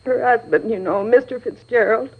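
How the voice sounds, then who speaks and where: Nervous gossipy cleaning lady, husky voice, folksy rambling, old radio drama, muffled recording. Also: a little high, moderate pace. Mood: confiding, nervous. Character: chatty, shaken night cleaning woman, gossipy and nervous.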